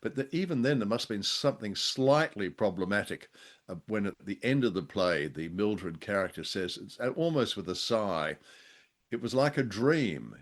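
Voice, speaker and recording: A man with a fairly low voice chats as a show co-host. He talks in a hesitant, searching way with a dry, wry sense of humour. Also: lively intonation, moderate pace. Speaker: podcast co-host. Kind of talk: conversational discussion of old radio drama.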